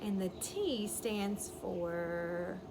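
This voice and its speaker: A woman teacher reading a picture book aloud to young children, pausing to explain words simply and ask questions. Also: medium pitch. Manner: instructive, explains words simply, checks understanding. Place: outdoors. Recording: clearly noisy outdoor ambience, wind chime. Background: birds chirping, wind chime.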